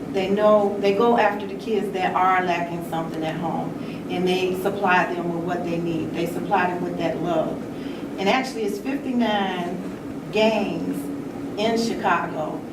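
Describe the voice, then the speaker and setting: A woman gives measured answers in a public discussion, speaking slowly and deliberately. The sound is distant and echoey, with loud noise behind her. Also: on the low side, steady tone. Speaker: panel participant. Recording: echoey, very noisy room, distant mic.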